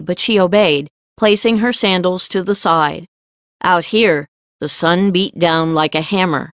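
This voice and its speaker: Lower-pitched woman narrating a novel. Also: a bit bright, no echo, studio quality. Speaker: audiobook narrator. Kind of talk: scripted fiction narration.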